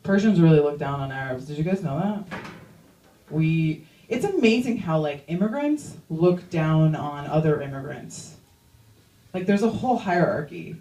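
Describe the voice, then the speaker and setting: A woman's voice: sits low, expressive, moderate pace, mellow, echoey room, distant mic, a little hiss or hum, low-quality recording. A young woman doing a stand-up comedy set in a chatty, self-mocking way, full of "like" asides. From Iranian-American. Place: comedy club stage.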